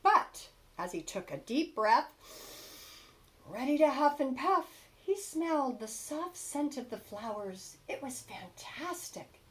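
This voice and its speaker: A woman reading a children's storybook aloud expressively, with emphatic stresses and dramatic pauses. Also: high voice, very animated, fairly bright tone. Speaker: storybook reader.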